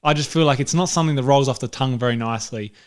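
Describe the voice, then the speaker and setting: A man's voice: medium pitch, very fast. A man co-hosting a podcast, chatting casually about a film in a blunt, dryly contrary manner. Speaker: podcast co-host. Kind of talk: casual film review banter.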